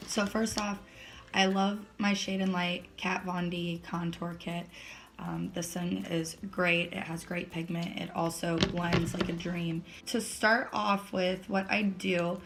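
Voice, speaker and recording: A young woman with a slightly raspy voice, still recovering from laryngitis, chatting casually through a makeup tutorial with lots of fillers. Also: fairly low pitch, level tone, slow pace, background noise. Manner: chatty, filler-heavy step-by-step explaining. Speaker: beauty vlogger.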